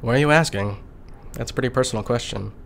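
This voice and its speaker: Guy playing peacemaker space crewmate, normal pitch, earnest n protective, audio drama. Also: quick pace, creaky, a little hiss or hum, natural voice. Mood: concerned, earnest. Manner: conciliatory, earnest.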